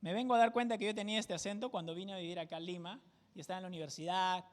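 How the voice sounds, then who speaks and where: A man's voice: high-pitched, fairly even intonation, very fast, big-room sound. A man from Peru with a Peruvian jungle accent, teaching a verse-by-verse Bible study in a conversational way and often checking in with his listeners. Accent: Peruvian jungle (selva) Spanish. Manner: conversational, keeps checking in with tag questions.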